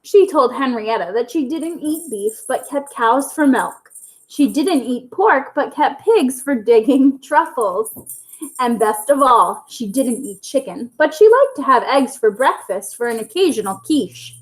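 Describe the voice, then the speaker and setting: A woman reading a children's storybook aloud in an expressive narrating style, as at a school story time. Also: high-pitched, unhurried, faint music behind, low-quality recording.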